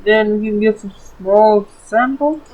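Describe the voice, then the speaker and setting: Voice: mid-range voice, a little slow, crowd noise. A gamer giving casual, impatient let's play commentary, padded with repeated filler words. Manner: repetitive filler "like, man", impatient. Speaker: gaming YouTuber. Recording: dull lo-fi mic over game music. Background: faint game music.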